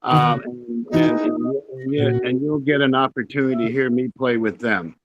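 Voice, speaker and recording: A man's voice: mid-range voice, steady tone, normal speed, lo-fi sound, music in the background. A man chatting casually and boastfully on a video call as a musician guest, rambling as he goes. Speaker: guest, rock musician friend of the band. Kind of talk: casual chat.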